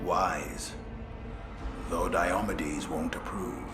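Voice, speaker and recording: An actor playing a loyal, knowing space marine officer in a video game, with a solemn, declamatory manner. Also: male voice, low voice, fairly even intonation, drawn-out words. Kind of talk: scripted video game voice acting. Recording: loud music behind.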